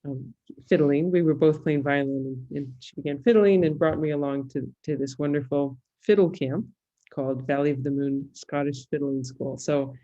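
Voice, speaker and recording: Female interview guest, Californian American, thoughtful and halting conversational delivery, slightly muffled lo-fi recording. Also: deep voice, fairly even intonation, warm tone. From California, United States. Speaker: interview guest, musicologist and fiddler.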